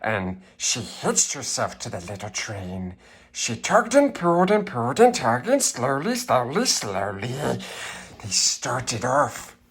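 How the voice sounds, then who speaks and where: A man voicing a wretched, throaty creature who reads a children's storybook in a theatrical croak. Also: medium pitch, wildly swooping pitch, slow, heavily acted. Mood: dramatic narrating. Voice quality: raspy, strained throaty croak. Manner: theatrical, wildly swooping delivery. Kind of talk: dramatic storybook reading.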